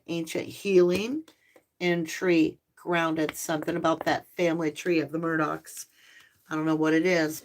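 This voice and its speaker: A woman hosting a live tarot stream, talking softly and affectionately to her viewers, her voice slightly hoarse and tired. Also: deep voice. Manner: soft, slow, spiritual and affectionate, addressing viewers as lovelies. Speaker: tarot reader and channel host.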